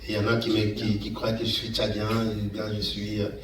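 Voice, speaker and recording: A middle-aged man speaks in a fairly low voice, giving an informal talk. He is halting and repeats phrases to stress them. The sound is echoey and picked up from a distance, with slight noise. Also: monotone, crowd noise. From Democratic Republic of the Congo, Kananga. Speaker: guest speaker, engineer and entrepreneur. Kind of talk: informal public talk recounting a career path. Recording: echoey room, distant mic, faint noise.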